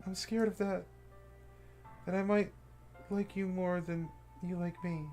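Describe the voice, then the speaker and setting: A man's voice: level tone, measured pace, dark tone. A man voicing a cheerful, clingy teenage girl from a visual novel, in a raised girlish voice that turns tearful. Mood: scared. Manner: high girlish voice, breaking into tearful pleading. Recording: faint game music behind.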